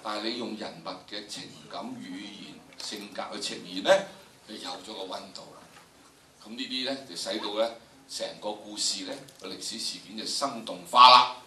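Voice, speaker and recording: A man's voice: fairly low pitch, normal speed, sharp tone, a little hiss or hum. Echoey older Hong Kong Cantonese man lecturing. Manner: theatrical, emphatic, rhetorical questions. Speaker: lecturer, drama educator. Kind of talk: animated lecture to students. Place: large indoor lecture theatre. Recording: echoey lecture hall, distant camera microphone.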